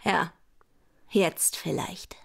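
Knowing, wry secretary for radio noir: heavily creaky, breathy-husky voice, frank and teasing. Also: female voice, on the low side, extremely slow, dry recording, in a whisper, studio quality, exaggerated voice. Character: wry, shrewd, loyal female secretary. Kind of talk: German radio crime drama acting.

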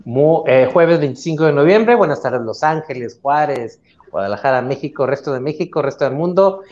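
A middle-aged man with a Mexican accent hosting a live interview over a video call, speaking somewhat haltingly. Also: a little high, animated, darkish tone. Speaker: talk show co-host. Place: indoor room at home, video call. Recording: dull lo-fi video call audio.

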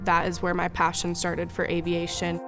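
Female, young adult, monotone interview storytelling over a faint music bed. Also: lowish voice, normal speed. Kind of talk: personal story in promotional interview. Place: large aircraft hangar.

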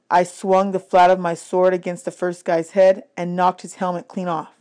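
A woman reading a children's adventure novel aloud as an expressive audiobook narrator, in scripted first-person narration. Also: mid-range voice.

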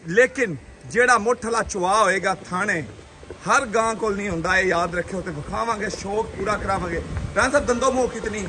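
Punjabi-accented young male farm vlogger, high bright voice, salesman patter, outdoor shed on a handheld phone. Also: normal speed. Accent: Pakistani Punjabi. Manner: chatty salesman patter, repeating stock phrases. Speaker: vlogger showing dairy cattle. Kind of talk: lively livestock sales tour.

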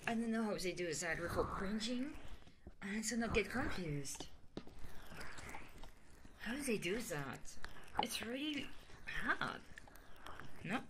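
A woman streamer doing ASMR and chat in a soft, intimate voice that often drops into whispers, close to the microphone. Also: medium pitch, lively intonation, slow pace, pauses often, background noise. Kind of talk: ASMR roleplay and chatting livestream.

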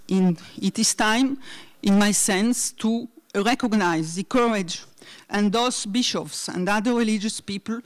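A middle-aged woman giving a conference talk from notes at a university hall, hesitant with many fillers, speaking into a podium mic. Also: mid-range voice. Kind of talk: academic talk read from notes. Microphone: desk mic on a stand.

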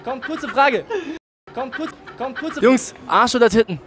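A man's voice: very high voice, animated, unhurried, music in the background. A young man in his twenties hosting a joking street interview, holding a mic outdoors on a city shopping street, teasing people he stops. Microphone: handheld mic.

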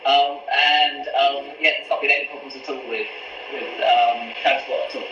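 A man's voice: mid-range voice, steady tone, moderate pace, dull audio, noisy background. A man, a pasta supplier explaining each cooking step as a guest on a live stream from his kitchen. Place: home kitchen. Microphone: camera a few feet away on a video call. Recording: echoey kitchen sound from the call.

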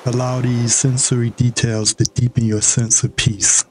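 A man narrating a scripted guided meditation in a measured, instructional way with long pauses between phrases. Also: mid-range voice, flat delivery, slight background noise.

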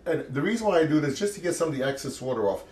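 A man's voice: on the high side, lively intonation, rapid-fire, a little mellow. Chatty man demonstrating a recipe in his kitchen. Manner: chatty, encouraging, talks while working. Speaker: cooking show host. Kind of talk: casual cooking demonstration. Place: home kitchen, indoors.

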